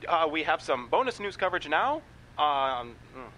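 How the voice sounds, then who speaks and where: Bright-voiced man acting as radio host. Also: fairly high pitch, big pitch swings, normal speed, rough recording. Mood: polite, hesitant. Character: bright, polite small-town male morning radio host. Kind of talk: comic morning radio show hosting. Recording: faint background noise.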